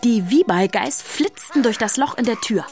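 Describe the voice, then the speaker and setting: A woman's voice: on the high side, expressive, normal speed, a little mellow. A woman narrator reading scripted narration for a children's radio play. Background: music.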